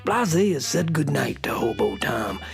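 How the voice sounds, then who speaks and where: A woman's voice: a bass voice, very animated, a little slow, vocal fry, faint music behind, heavily acted. A woman playing a hip beatnik cat who narrates a children's radio story in laid-back slang. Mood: hip, inviting.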